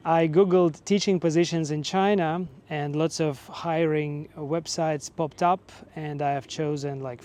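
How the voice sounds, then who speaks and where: A man in his forties from Russia, speaking English, telling his own story in a relaxed, measured way with fillers. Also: on the high side. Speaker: vlogger and teacher. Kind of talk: casual personal storytelling.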